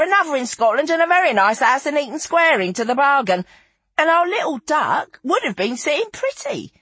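A woman's voice: sits high, a bit bright, breathy edge, no room sound, pitched above the performer's own voice, exaggerated voice. A woman voicing a flamboyant, worldly showgirl, gossipy and mock-genteel in an audiobook story. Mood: gossipy, relishing.

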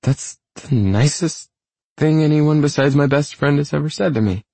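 An audiobook character performed by a man: a bubbly, oddball young woman who gushes and second-guesses herself. The pitch is lifted well up, with a husky grain and a very dry, close sound. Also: fairly quick, darkish tone, no room sound, lightly acted. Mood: touched, tearful.

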